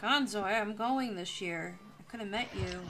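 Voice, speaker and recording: A woman's voice: medium pitch, normal speed, a bit bright. A young woman chatting casually as the host of a drawing livestream.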